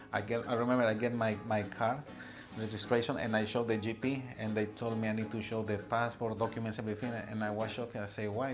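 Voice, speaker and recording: A low-voiced man from Latin America speaks, his delivery flat and barely rising or falling. His English is halting and simple, as if he searches for each word in an interview. Guitar music plays clearly behind him. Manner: halting, plain non-native English. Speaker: migrant worker interviewee. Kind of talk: documentary interview.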